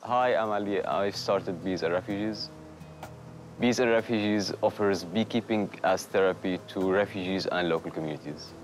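A man in his thirties from Syria, a charity founder, calmly telling his story in a garden interview. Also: low-pitched, normal speed, soft background music. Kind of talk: documentary interview. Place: outdoor garden.

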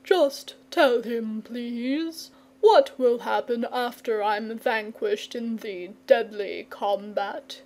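A languid storybook dragon, performed by a woman, fussy and coy, with airs of refinement. He draws his words out very slowly, in no hurry to be persuaded. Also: fairly high pitch, darkish tone. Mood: smug, drawling. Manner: drawling, leisurely, testy when pressed. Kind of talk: storybook character reading.

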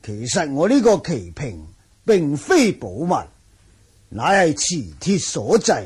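An actor with a Hong Kong Cantonese accent playing a courteous, measured older chess-loving master, husky and formal, in radio drama style. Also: male voice, fairly high pitch, darkish tone, full character voice. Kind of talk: 1980 radio drama acting.

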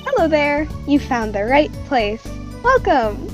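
Woman as a chirpy, high-voiced teen girl magician. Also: very animated, slow pace, soft background music, full character voice. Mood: breezy, bossy. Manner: chirpy, teasing, showy. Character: breezy, bossy teenage girl stage magician. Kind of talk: improvised comedic video game fandub.

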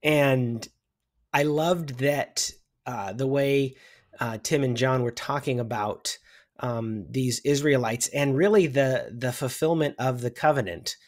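A man's voice: medium pitch, slow. An American man in his fifties, a guest thinking aloud in a casual religious discussion over a video call. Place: indoor room at home, video call.

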